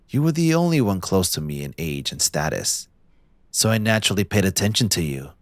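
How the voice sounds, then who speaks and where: A man playing a possessive, smug young mafia heir in a scripted audio roleplay, speaking in a theatrical, condescending, deliberate villain's drawl. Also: low-pitched, dry recording. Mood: obsessive, confiding.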